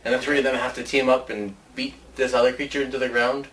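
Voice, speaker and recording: A man's voice: medium pitch, flat delivery, quick pace, a bit bright. A man co-hosting a casual video chat in an informal, loose way, often repeating his phrasing. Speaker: co-host of a video show about movie ideas. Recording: distant mic with faint background noise.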